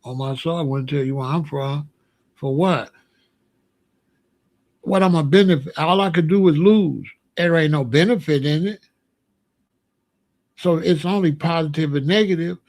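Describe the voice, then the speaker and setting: A man with a somewhat high voice runs his own opinion show. He speaks bluntly in loose street slang and keeps firing rhetorical questions. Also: moderate pace, long pauses, darkish tone. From Chicago. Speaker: show host. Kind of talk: casual opinion monologue.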